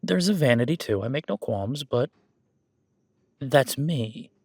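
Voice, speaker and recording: A man's voice: mid-range voice, animated, unhurried, gravelly, a little mellow, husky, no echo, exaggerated voice. A man playing a friendly, easygoing werewolf boyfriend in a conversational audio roleplay, reassuring and rambling as he corrects himself. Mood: earnest, reassuring. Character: friendly male werewolf gym-goer, easygoing supportive boyfriend. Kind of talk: conversational one-sided roleplay acting.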